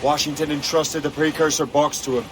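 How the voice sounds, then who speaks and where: A man's voice: a little high, fairly even intonation, brisk, background noise, close to an everyday voice. An actor playing an earnest, restless young male assassin sailor in a video game cutscene, questioning and sincere. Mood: urgent.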